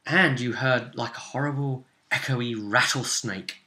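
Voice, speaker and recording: A man recapping a tabletop role-playing session as game master, in a casual, animated storytelling manner. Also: mid-range voice, unhurried.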